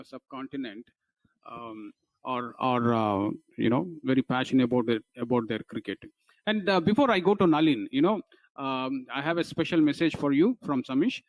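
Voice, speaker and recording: A man's voice: medium pitch, darkish tone, dry recording, low-quality recording. Guy hosting a chat show, rambly questions, dead dry sound. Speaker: host. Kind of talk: casual interview chat.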